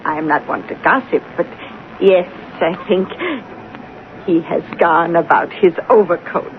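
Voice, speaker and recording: A woman's voice: fairly low pitch, a little slow, a little hiss or hum, natural voice. Lady as old gossipy landlady, polite and formal, seventies radio drama, tinny sound. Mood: deferential, confiding. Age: 70s. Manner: formal, apologetic, confiding. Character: elderly female landlady, courteous, gossipy, deferential. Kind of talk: 1970s radio drama acting.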